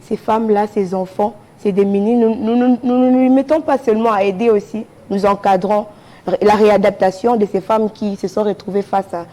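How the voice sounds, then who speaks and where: A Congolese woman speaks as a guest in a TV studio. Her voice is dark and relaxed at a medium pitch. She makes an earnest appeal, restating her phrases as she goes. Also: normal speed. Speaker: charity association representative, TV guest.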